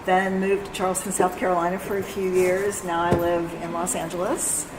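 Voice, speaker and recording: An older retired woman from the American Midwest, a wine blogger, calmly introducing herself. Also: on the low side, moderate pace. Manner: calm, plain self-introduction. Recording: echoey room with loud background noise.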